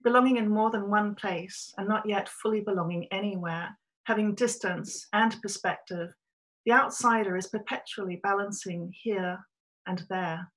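A woman's voice: mid-range voice, steady tone, a bit bright, rough recording. A middle-aged woman from Australia, a writer giving a prepared, reflective talk to camera from a small room over a webcam. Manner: measured, reflective storytelling.

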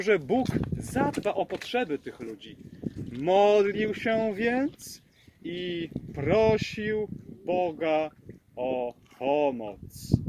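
A man telling a Bible story to children in an animated, dramatic, drawn-out way, recorded with noise behind him. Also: high voice.

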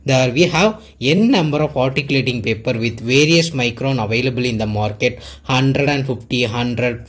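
A man teaching a dental technique in an instructional voice-over, explaining step by step in an earnest, plain way. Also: medium pitch. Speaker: dentist teaching clinical technique.

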